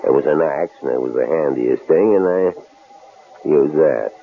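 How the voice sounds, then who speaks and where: An actor playing a menacing, uncouth bruiser in a radio drama, leering and needling with gruff familiarity. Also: male voice, very deep voice, measured pace. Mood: grim, matter-of-fact. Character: menacing, uncouth bruiser named Jake, a possible killer. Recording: lo-fi old radio recording, faint hiss.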